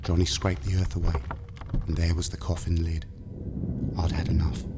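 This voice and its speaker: A man's voice: deep voice, level tone, moderate pace, over loud music. A man with a British accent narrating a scripted horror story in the first person.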